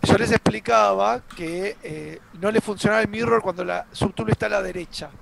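Gravelly high-voiced Argentine man. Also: normal speed, noisy background. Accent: Argentine Spanish. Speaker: co-host reading chat. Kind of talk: casual live-stream chat and tech answers.

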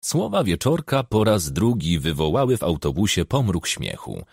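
Male audiobook narrator: husky, creaky, highly animated reading of fiction, dry sound. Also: moderate pace, dry recording.

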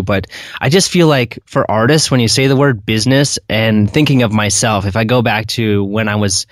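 A man's voice: fairly low pitch, dry recording, clean studio sound. A middle-aged man hosting a podcast, chatty and enthusiastic, rambling as he sets up his questions. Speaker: podcast host. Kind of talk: casual interview.

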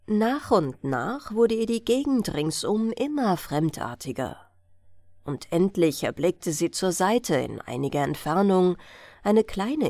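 A woman reads a bedtime fairy tale as an audiobook narrator. Her delivery is measured and unhurried, with very lively intonation. The sound is dry and close. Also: on the low side, dry recording.

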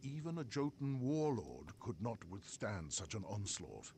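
A solemn wise weapon mentor. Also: male voice, on the low side, sing-song, a little mellow, a little hiss or hum. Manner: measured, solemn instruction. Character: wise male mentor handing over a magic weapon.

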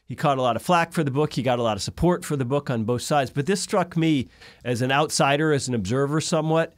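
Middle-aged host on a video call, dry sound, measured, asks long careful questions. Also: male voice, medium pitch, dry recording. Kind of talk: interview questions and discussion. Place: indoor office in front of a backdrop.

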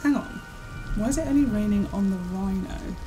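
A woman's voice: mid-range voice, moderate pace, music in the background, close to an everyday voice, low-quality recording. A woman playing a bemused, ordinary young woman caught up in an alien adventure, in an audio drama. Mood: puzzled. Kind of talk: fan audio drama acting.

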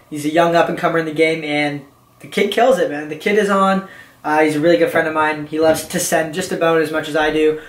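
A young man hosting a podcast, chatting casually in a rambling, profane, energetic way. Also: fairly high pitch. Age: young adult. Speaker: podcast host. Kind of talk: casual chat. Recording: echoey room.

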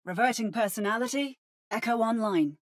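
A woman's voice: a little high, normal speed, close to an everyday voice. A woman playing an adaptive female robot in a video game, with a precise, synthetic, theatrical delivery that mimics other fighters. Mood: precise, robotic. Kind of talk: video game character voice lines.

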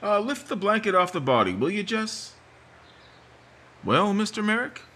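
A man's voice: high voice, big pitch swings, normal speed, pushed and bright, a little hiss or hum, heavily acted. An actor playing a patient small-town sheriff in a 1950s-style radio mystery, drawling and hesitating with "uh" and "mm" as he questions a witness. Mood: probing. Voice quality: husky, drawling with "uh" and "mm".

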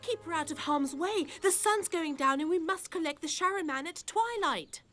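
A woman's voice: very high voice, expressive, moderate pace, a bit bright. A woman voicing a bossy, bookish, anxious teenage girl in a cartoon, earnest and precise. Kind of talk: cartoon acting.

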